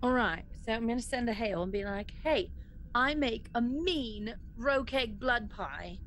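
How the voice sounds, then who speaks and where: High-voiced woman player, noisy background. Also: animated, unhurried, fairly bright tone. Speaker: tabletop game player. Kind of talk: casual in-game chat. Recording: clear background noise.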